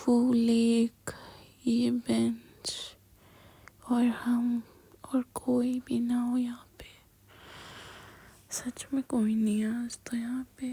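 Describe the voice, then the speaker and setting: A woman whispering an intimate girlfriend roleplay, speaking softly and directly to the listener up close to the mic. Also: a little high, monotone, extremely slow, long pauses, breathy edge.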